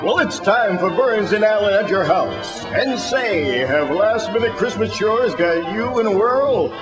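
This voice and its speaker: An American man announcing and selling in an upbeat, clearly enunciated 1940s radio commercial style. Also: high-pitched, expressive, measured pace, low-quality recording. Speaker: radio announcer and sponsor pitchman. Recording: old radio broadcast with music.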